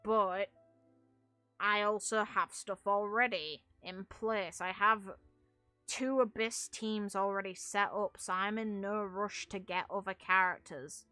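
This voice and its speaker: A woman game streamer with a bright, forward voice talks casually to her viewers. She is opinionated and leans firmly on her points. The sound is close and dry, with music behind her. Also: medium pitch, steady tone, slow, dry recording. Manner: opinionated, emphatic. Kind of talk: casual livestream chat.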